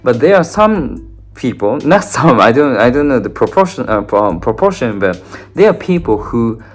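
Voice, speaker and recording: A man's voice: mid-range voice, very animated, normal speed, faint music behind. A young man from Japan with a Japanese accent, explaining language learning in a thoughtful, unscripted way with many fillers and restarts. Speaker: language learner and video host.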